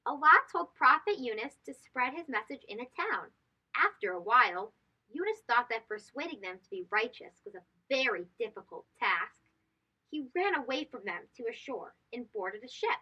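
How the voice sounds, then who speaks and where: Animated high-pitched woman reading to children. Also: moderate pace, breathy edge, highs cut off. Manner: animated, addressing young listeners directly. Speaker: host of children's read-aloud show. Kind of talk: expressive storybook narration for children.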